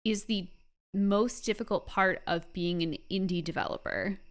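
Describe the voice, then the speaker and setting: A woman's voice: mid-range voice, moderate pace. A woman hosting a casual interview, speaking informally and sympathetically with hesitant phrasing. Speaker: interviewer, host. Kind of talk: casual chat.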